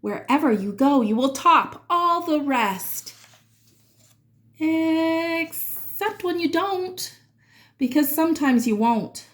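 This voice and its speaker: High-voiced woman reading a children's storybook aloud. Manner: expressive, dramatic phrasing with drawn-out words. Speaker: children's read-aloud reader. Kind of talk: expressive storybook read-aloud in rhyming verse.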